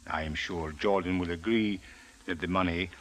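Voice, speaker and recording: An actor playing a courteous Cairo police official in a 1940s radio drama, formal and measured. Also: male voice, low voice, fairly even intonation, fairly bright tone. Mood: grave sympathy.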